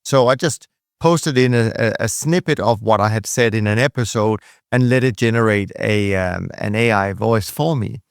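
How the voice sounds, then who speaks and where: A man's voice: on the low side, moderate pace, gravelly, clear, resonant tone, no room sound, clean studio sound. A man with a Danish accent hosting a podcast interview, chatting with rambling asides. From Denmark. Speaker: podcast host. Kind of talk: conversational interview.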